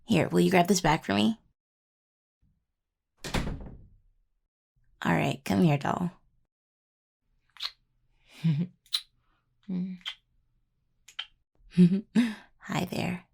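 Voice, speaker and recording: A woman playing an affectionate, teasing necromancer in an intimate audio roleplay, talking softly and playfully to a partner, with a husky, gravelly voice. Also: lowish voice, drawn-out words, pauses often, full character voice. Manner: soft, playful, casual delivery with sighs and murmured asides. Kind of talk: intimate audio roleplay acting, addressed to a listener.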